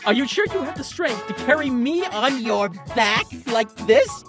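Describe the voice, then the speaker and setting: A woman's voice: on the high side, expressive, moderate pace. A woman playing a timid, anxious little sidekick with a wry streak in a comic audio drama. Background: music.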